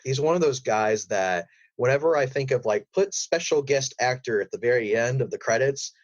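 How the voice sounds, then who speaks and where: A man's voice: medium pitch, lively intonation, moderate pace, no echo, muffled recording. A man speaking as an interview guest about his voice work, in a rambling, enthusiastic way. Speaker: guest, voice actor.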